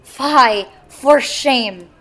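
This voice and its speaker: A woman's voice: high voice, drawn-out words, bright, forceful tone, full character voice. A woman playing a commanding, scornful queen in a dramatic reading of Shakespeare. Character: commanding, scornful queen covering for her husband.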